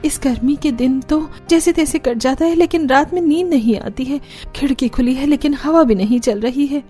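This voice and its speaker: A woman acts out a clever young Indian housewife in a cartoon tale, respectful and reassuring. Her voice is fairly high, with light music behind. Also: moderate pace, dark tone, lightly acted. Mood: weary. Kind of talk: Hindi cartoon story dialogue.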